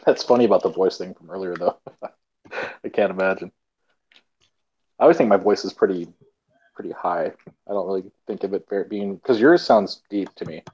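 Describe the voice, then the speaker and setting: A man's voice: sits low, normal speed, long pauses, darkish tone, breathy edge. Hesitant man on a dull call. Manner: hesitant, searching for words with fillers. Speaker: guest. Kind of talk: casual online chat. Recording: dull lo-fi online call audio.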